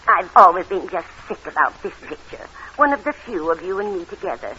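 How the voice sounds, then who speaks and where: तीखी, चमकदार आवाज़ वाली नाज़ुक बूढ़ी मौसी. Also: female voice, on the low side, sing-song, a little fast, bright, forceful tone. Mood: wistful. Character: frail, fond, nostalgic old aunt with failing eyes. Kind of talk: 1940s radio drama acting. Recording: old muffled, noisy radio recording.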